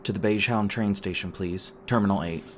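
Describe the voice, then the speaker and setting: A low-pitched male demon boyfriend, acted by a man in an audio roleplay, over clearly noisy ambience. He talks casually and haltingly, crass and teasing, with a caring streak underneath. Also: lightly acted. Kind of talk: boyfriend ASMR roleplay, conversational acting. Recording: clearly noisy background with ambient sound effects.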